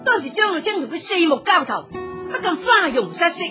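A woman's voice: very high-pitched, big pitch swings, normal speed. A woman narrating a story theatrically, addressing her listeners in a dramatic scripted style. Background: music.

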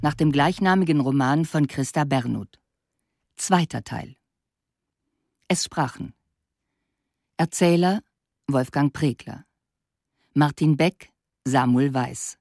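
A female radio announcer with a low voice reads credits slowly and formally. Each phrase is set apart neutrally and deliberately, in a dry studio sound. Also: a little mellow, no echo.

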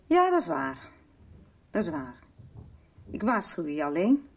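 Bossy no-nonsense woman in a radio soap, bit husky, very up and down, tells people off. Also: fairly low pitch, huge pitch swings, unhurried, exaggerated voice. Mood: earnest advice. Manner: chiding, frank, colloquial. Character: blunt, bossy, sensible adult woman in a household.

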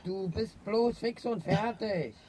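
An actor playing a meek, good-natured male friend, soft and soothing, in a German radio drama. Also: sits high, slow, slight background noise. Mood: hurt, soothing.

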